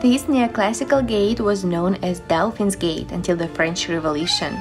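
A woman's voice: mid-range voice, moderate pace. A young woman reading a scripted voice-over as the narrator of a travel guide. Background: background music.

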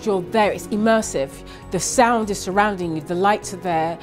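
A woman's voice: mid-range voice, moderate pace. A woman talking in an interview about theatre. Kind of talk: interview talk. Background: music.

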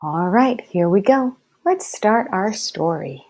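A woman speaks as a first-grade teacher leading a read-aloud lesson. Her pace is slow and deliberate, with each word carefully enunciated for young listeners. Her voice sits at a middling pitch for a woman. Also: animated, a little mellow. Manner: deliberate, clearly enunciated, instructive.

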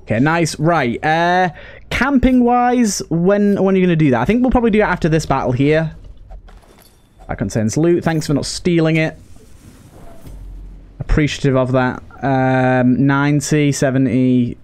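A man's voice: fairly high pitch, lively intonation, normal speed, darkish tone, smooth. A man giving casual let's play commentary as a gaming host, talking to himself and to the characters on screen. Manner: talks to himself and to game characters.

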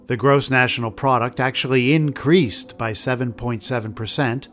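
A man's voice: medium pitch, steady tone, normal speed, fairly bright tone, faint music behind. A man hosting and reading scripted history narration. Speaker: podcast host.

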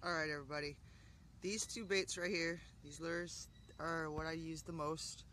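A young woman filming her own fishing vlog on an action camera by a canal, talking casually and exclaiming loudly over her catches. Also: low-pitched, fairly even intonation, moderate pace. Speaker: fishing vlogger. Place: outdoors by a canal shore. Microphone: action camera on the body. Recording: outdoor wind and traffic noise.

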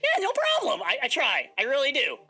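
Casting: woman as flustered, bossy robot fox, high bright swooping voice, game roleplay. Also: wildly swooping pitch, brisk, husky, heavily acted. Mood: upbeat. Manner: flustered, dramatic, stammering denials. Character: excitable, bossy animatronic fox character. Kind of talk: improvised Minecraft roleplay.

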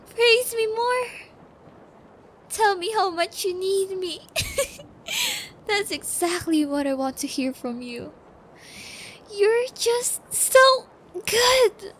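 A woman's voice: extremely high pitch, very animated, exaggerated voice. A woman playing a sadistic, possessive psychopath who keeps a captive pet, taunting and gloating in an intimate ASMR audio roleplay. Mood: exultant. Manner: taunting, gloating, giggly, drawn-out threats.

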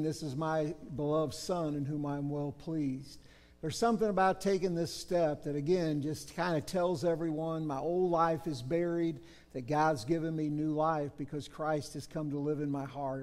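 A middle-aged man, a pastor, praying earnestly and officiating baptisms in a church. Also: a little high. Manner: earnest, gentle prayerful delivery. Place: church baptistry, large sanctuary. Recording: reverberant church sanctuary.

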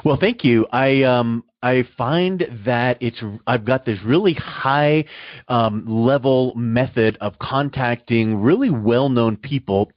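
A man's voice: medium pitch, no echo, muffled recording. A middle-aged man hosting a casual interview, self-assured and emphatic, repeating words to stress his points. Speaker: podcast host and interviewer.

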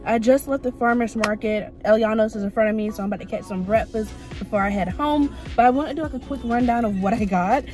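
A woman's voice: fairly high pitch, fast, few pauses, darkish tone, soft background music. A young woman vlogging casually to a handheld camera from her car, bubbly and full of "like" fillers. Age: young adult. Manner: chatty, bubbly, many "like" fillers. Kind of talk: casual vlog chat to camera. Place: inside a parked car. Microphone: camera held at arm's length.

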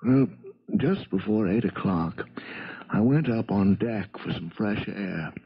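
An actor playing an earnest, weary man giving a hesitant, measured account in a 1970s radio drama. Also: lowish voice, slightly rough. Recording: dull, muffled old radio recording.

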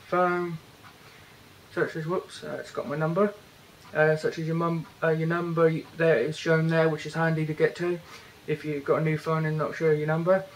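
Young British man, slightly high, flat delivery, halting with lots of fillers; casual gadget walkthrough recorded indoors on a camera mic. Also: slight background noise, rough recording. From Britain. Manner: hesitant, many fillers and restarts. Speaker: tech reviewer. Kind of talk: casual hands-on product walkthrough. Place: indoors, bedroom.

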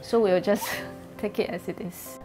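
Woman caregiver, thirties, plain-spoken and halting, soft music behind. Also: mid-range voice, fairly even intonation. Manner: candid, plain-spoken, with hesitations. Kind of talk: interview testimony. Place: home living room.

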